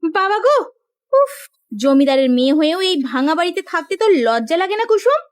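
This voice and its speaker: A woman's voice: extremely high pitch, big pitch swings, brisk. A woman voicing a haughty, scolding sister-in-law from a rich household in a melodramatic cartoon. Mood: scornful scolding. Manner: scolding, taunting.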